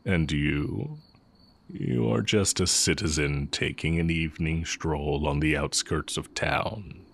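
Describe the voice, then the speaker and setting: A man playing a tender, sly heretic mage and former teacher in a romantic audio roleplay, speaking in measured, formal phrases. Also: rumbling low, creaky, a bit bright, exaggerated voice. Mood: tender, teasing. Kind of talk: romantic audio roleplay, intimate scripted acting.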